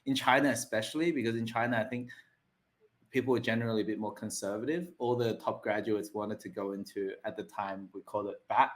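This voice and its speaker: A man's voice: fairly low pitch, fairly even intonation, fairly quick. A man, talking loosely as a podcast guest and often checking in with tag questions. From Australia. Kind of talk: casual interview talk.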